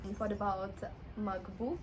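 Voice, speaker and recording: A woman teacher with a Russian accent giving a friendly vocabulary lesson, slowly repeating words and laughing often. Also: mid-range voice, fairly even intonation, noisy background.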